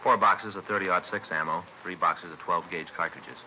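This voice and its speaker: An actor playing a businesslike American police detective partner in a 1950s radio crime drama, with casual, terse delivery. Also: male voice, sits low, fairly even intonation, normal speed, lightly acted. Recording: muffled, faintly noisy old radio recording.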